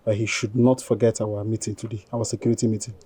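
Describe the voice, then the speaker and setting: A man's voice: on the low side, flat delivery, fast, noisy background, close to an everyday voice. A man playing a fed-up security officer who complains emphatically. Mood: annoyed.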